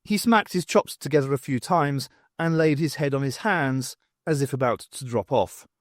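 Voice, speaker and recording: A man reading a first-person story aloud as an expressive audiobook narrator, with wry, dramatic, emphatic phrasing. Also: medium pitch, normal speed, no echo, clean studio sound. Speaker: audiobook reader.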